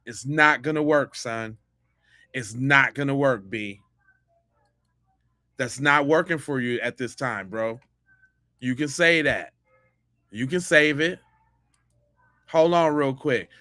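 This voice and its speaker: A man's voice: mid-range voice, takes it slowly, pauses often, bright, forceful tone, no room sound, studio quality. A man hosting a podcast with slangy, mocking, unfiltered live reaction commentary. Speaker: podcast host.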